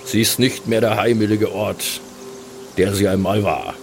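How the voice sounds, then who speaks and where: Man playing scholarly dwarf son, solemn, somewhat stilted, low-pitched, fantasy audio roleplay, clear background music. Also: unhurried. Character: bookish, earnest male dwarf son.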